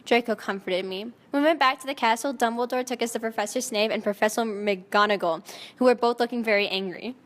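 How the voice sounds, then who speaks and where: A woman's voice: a little high, brisk. A woman narrating fan fiction in a mock-dramatic style, often close to giggling at the text. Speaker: narrator and reader. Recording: long echoing reverb.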